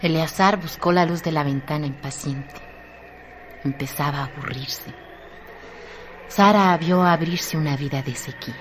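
A woman's voice: sits low, measured pace, long pauses. A woman giving a dramatic, literary narration as the narrator of a radio drama. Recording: noisy radio drama track.

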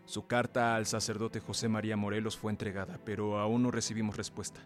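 An actor playing a steady, courteous young gentleman conspirator in a radio drama, speaking formally and reassuringly. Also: lowish voice, a little fast, soft background music, close to an everyday voice.

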